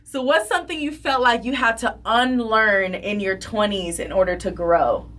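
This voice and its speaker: Bright young woman hosting a podcast. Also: mid-range voice, moderate pace. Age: 20s. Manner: chatty and slangy, swears freely. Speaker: podcast host. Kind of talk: casual interview chat. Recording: voice a bit distant from the mic.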